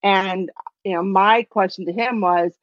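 A woman's voice: mid-range voice, steady tone, a little slow, dry recording, muffled recording. A woman co-hosting a podcast in casual chat, talking loosely with many "you know"s and "um"s. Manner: chatty, lots of fillers like "you know". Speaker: podcast co-host.

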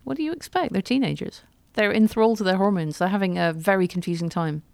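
A woman's voice: medium pitch, quick pace, creaky, darkish tone, dry recording. A woman co-hosting a podcast, chatting casually in a wry, self-deprecating way. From United Kingdom. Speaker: podcast co-host. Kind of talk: casual chat.

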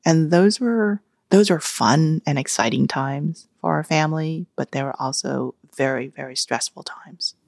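Donna loquace dalla voce grave. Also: female voice, deep voice, measured pace, vocal fry, hardly any pauses, no room sound, clean studio sound. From Vietnam. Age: 40s. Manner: animated, laughs often, acts out family quotes. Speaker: guest. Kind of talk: casual storytelling interview.

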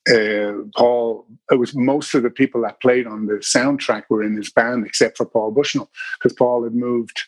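Irish man, husky, rambling storyteller. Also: medium pitch, fairly even intonation, normal speed, dry recording, low-quality recording. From Ireland. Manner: rambling, many restarts and fillers. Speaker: bass player guest. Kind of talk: casual interview storytelling.

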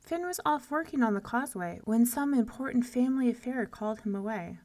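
A woman voicing a clever, calm giant's wife in a storybook tale, coaxing sweetly with feigned innocence. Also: fairly high pitch, moderate pace, pitched above the performer's own voice. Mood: sweetly innocent.

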